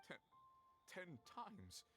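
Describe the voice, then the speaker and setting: An actor playing a cornered, pleading merchant who stammers, in a video game. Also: male voice, very slow, over loud music. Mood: shocked, dismayed. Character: flustered, cornered male merchant confessing a swindle.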